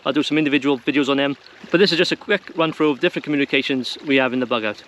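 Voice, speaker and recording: A man's voice: mid-range voice, very fast, fairly bright tone, slight background noise. A man presenting an informal how-to video to viewers in a plain-spoken, conversational way. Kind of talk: informal instructional talk to viewers.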